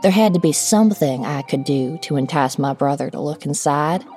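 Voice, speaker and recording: A woman narrating a scripted first-person story in a measured, wry storytelling manner. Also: deep voice, animated, darkish tone, faint music behind.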